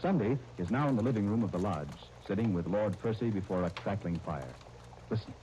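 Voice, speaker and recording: A man announcing and narrating in formal 1930s radio style, with measured, deliberate phrasing. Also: fairly low pitch, expressive, dark tone, poor audio. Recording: old 1930s radio disc, faint noise.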